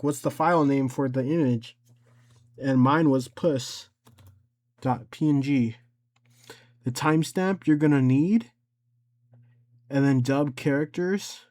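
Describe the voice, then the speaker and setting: A man's voice: on the high side, darkish tone. A man hosting an informal, improvised step-by-step screen tutorial, thinking aloud as he goes. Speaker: tutorial host.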